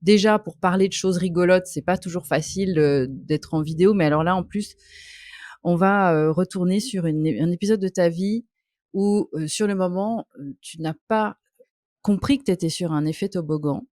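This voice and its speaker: A woman's voice: on the low side, normal speed, a little mellow, dry recording, studio quality. A woman hosting an interview, speaking with many hesitant fillers and an empathetic tone. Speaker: podcast host. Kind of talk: interview via video call. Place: hot home office.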